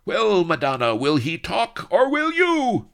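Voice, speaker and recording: A man's voice: high-pitched, wildly swooping pitch, measured pace, vocal fry, fairly bright tone, voice pushed up, full character voice. A man voicing a bombastic, bloodthirsty Spanish villain lord in an adventure story, with pompous, bellowing, theatrical ranting. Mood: gloating, menacing.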